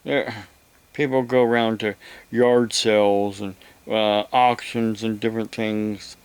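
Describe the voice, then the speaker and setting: A man talks in a casual, rambling way, explaining things at a slow pace. His voice is very smooth and clear, with a halting, drawn-out delivery. The sound is dry and close, with hardly any room to it. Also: lowish voice, level tone, no echo. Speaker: vlogger.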